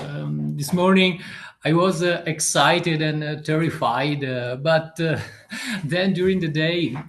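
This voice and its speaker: Italian-accented man, hesitant, echoey room. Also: fairly high pitch. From Italy. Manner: halting, frequent uh fillers, searching for words. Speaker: author guest. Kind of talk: interview answers.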